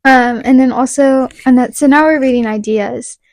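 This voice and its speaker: A woman's voice: high-pitched, normal speed, a little mellow, dry recording. A young woman co-hosting a podcast in casual chat, chatty and steering the talk toward practical tips. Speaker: podcast co-host.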